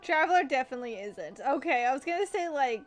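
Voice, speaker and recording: High-voiced woman streaming a game. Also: expressive, quick pace. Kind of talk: casual live game commentary, reading aloud and reacting. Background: game music.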